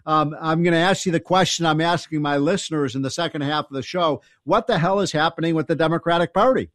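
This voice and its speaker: Radio host guy sounding stuffed up, kinda high pitched, casual and chatty, dry mic. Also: fairly bright tone, no room sound. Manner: casual, quick interjections, some stumbles. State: stuffed up from a summer cold. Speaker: radio talk show host. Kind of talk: talk radio interview.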